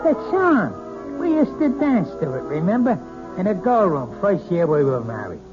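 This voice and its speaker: An actor playing a drunken, abusive husband in an old-time radio drama, sneering and mock-friendly. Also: male voice, high-pitched, big pitch swings, normal speed. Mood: boozy, needling. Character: menacing, drunken, abusive husband with a mocking streak. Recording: muffled vintage radio drama, music behind.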